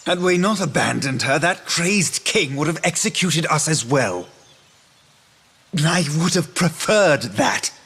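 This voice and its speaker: An actor playing a bitter, proud young outcast brother in a fantasy game, scornful and dramatic with archaic phrasing. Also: male voice, fairly high pitch, expressive, measured pace, a bit bright, husky, exaggerated voice.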